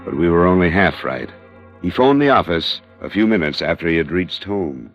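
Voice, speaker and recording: A man's voice: low voice, lively intonation, moderate pace, faint music behind. A man playing a cool, direct insurance investigator in a vintage radio crime drama, clipped and matter-of-fact. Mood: dry narration. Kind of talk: 1940s-50s radio crime drama, narration and dialogue. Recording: dull vintage radio recording.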